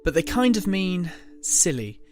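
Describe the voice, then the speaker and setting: A man playing a defensive divorced father in an audio drama, guarded and quick to snap. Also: sits high, sing-song, over music, pitched above the performer's own voice. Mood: guarded, gentle. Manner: guarded, snapping when provoked. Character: immature, defensive divorced young father, trying to be gentle.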